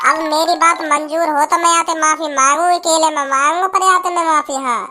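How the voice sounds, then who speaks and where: Very high-pitched cheeky village boy. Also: steady tone, fairly quick, sharp tone, faint music behind, full character voice. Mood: stubborn, grudging. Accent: western Uttar Pradesh. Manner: stammering repetitions, argumentative. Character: cheeky, stubborn, defiant little village boy. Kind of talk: comedy cartoon dialogue.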